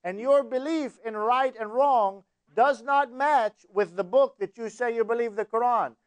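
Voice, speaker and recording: A man's voice: extremely high pitch, unhurried, smooth, no echo. A man hosting a live TV call-in show, pressing his caller insistently and quoting scripture like a preacher. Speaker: TV show host. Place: TV studio.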